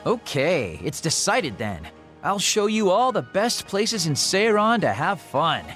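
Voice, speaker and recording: Cocky playful young game guy, bit high pitched, super animated, theatrical teasing. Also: unhurried, faint music behind, full character voice. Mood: upbeat. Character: breezy, self-assured fun-loving young man, playful mentor. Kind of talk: English video game voice acting.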